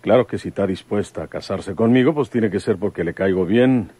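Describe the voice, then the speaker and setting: A man's voice: fairly low pitch, brisk, mellow, husky, dry recording. A man playing a fatherly, folksy rural police chief in an old radio drama. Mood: fond, hopeful.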